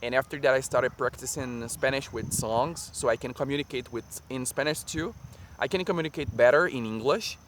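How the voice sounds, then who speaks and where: A Brazilian man whose first language is Portuguese, speaking English with a Brazilian accent in a relaxed, halting way with many fillers, recorded outdoors in a park. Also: medium pitch. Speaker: vlogger. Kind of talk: casual chat to camera. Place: sunny park by a lagoon, outdoors. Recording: faint park and traffic noise outdoors.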